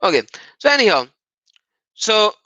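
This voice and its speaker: A man's voice: high-pitched, very animated, moderate pace, sharp tone, no room sound, muffled recording. A man hosting an argumentative live stream on religion, often mocking and repeating phrases for emphasis.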